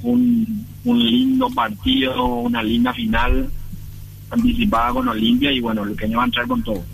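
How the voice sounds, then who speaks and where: A man's voice: very high-pitched, level tone, moderate pace, vocal fry, slight background noise, poor audio. A man answering questions on a radio show as a football club official, hesitant and full of fillers. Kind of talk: radio phone interview.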